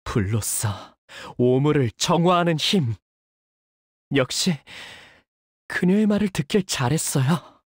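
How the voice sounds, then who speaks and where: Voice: medium pitch, very animated, takes it slowly, husky, no echo, heavily acted. A young guy fighter from a video game, polite n dramatic, voice moves up and down a lot, super dry recording. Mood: bitter, fanatical. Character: earnest, anxious young man, a devoted shielding fighter; tormented young male zealot who purifies with fire. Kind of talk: video game character voice lines.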